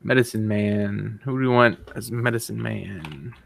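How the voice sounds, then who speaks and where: A man's voice: fairly low pitch, unhurried, creaky, fairly bright tone. A man chatting casually in a tentative, questioning way as he brainstorms with others. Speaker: stream participant. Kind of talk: casual chat.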